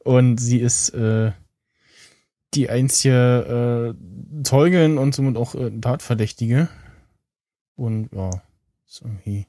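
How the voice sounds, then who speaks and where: A man co-hosting a podcast, talking casually and haltingly as he thinks aloud. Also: mid-range voice, mellow, dry recording. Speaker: podcast co-host. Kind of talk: casual, unscripted podcast chat about tech and streaming.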